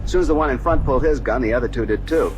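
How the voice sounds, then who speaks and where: A man's voice: medium pitch, racing, music in the background, natural voice. A man playing a shaken, plain-spoken shopkeeper giving a folksy, rambling witness account in a 1950s radio drama. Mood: plain recounting. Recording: muffled old radio playback under loud truck noise. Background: loud vehicle engine and road noise.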